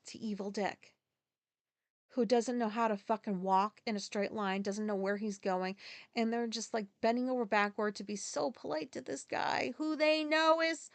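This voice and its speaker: A woman's voice: on the high side, fairly bright tone, no room sound. Ranting woman podcaster, dry close sound. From Japan. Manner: ranting, profane, full of fillers and asides. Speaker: podcast host. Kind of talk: solo venting monologue.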